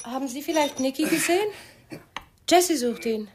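High-voiced, brisk woman in radio drama. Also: animated. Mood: brisk, polite. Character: Mrs. Benner, brisk, polite mother looking for a dog. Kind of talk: German radio crime drama acting, 1989.

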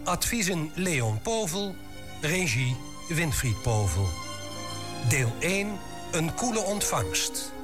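Male radio drama announcer: slow, fairly high, formal scripted read over music. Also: sing-song.

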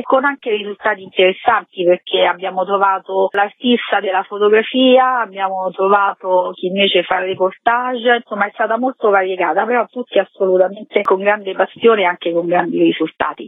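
A woman's voice: mid-range voice, dry recording. A woman explaining things in an interview, speaking as an exhibition co-curator. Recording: dull lo-fi phone line.

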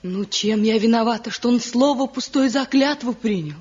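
A woman acting an anguished, pleading young wife in a radio drama, devoted, with a husky, breathy voice. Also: on the high side, normal speed, darkish tone. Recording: muffled 1970 radio drama recording, music behind.

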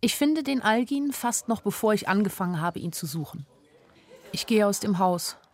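A woman's voice: mid-range voice, animated, normal speed, no room sound, close to an everyday voice. An actress playing a weary, lovesick young woman who narrates a radio play in an introspective, lyrical way. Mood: anxious, weary. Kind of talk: literary first-person radio play narration. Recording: studio radio play with faint music.

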